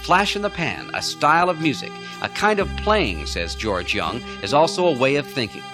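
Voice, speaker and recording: A man's voice: a little high, very animated, normal speed. A man reading scripted narration for a radio documentary about music, as its presenter.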